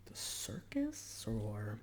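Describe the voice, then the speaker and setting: A man in a whispered ASMR roleplay as a portrait artist, chatting hesitantly in short phrases with pauses between them. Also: lowish voice, wildly swooping pitch, a little hiss or hum.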